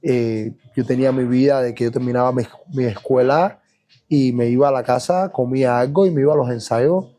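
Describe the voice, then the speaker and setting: A man from Cuba with a Cuban Spanish accent, a dancer recounting his childhood in a relaxed, reflective podcast interview, with frequent fillers and restarts. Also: mid-range voice, fairly quick. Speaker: guest dancer. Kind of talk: podcast interview, personal storytelling.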